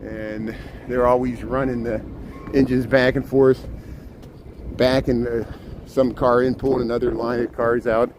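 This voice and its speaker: A man with a loud, carrying voice, chatting his way along city streets. He points things out as he walks, relaxed and unscripted, at a mid pitch. Traffic noise sits clearly under his voice. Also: a little slow. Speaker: live streamer; urban planner. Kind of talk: walking tour live stream.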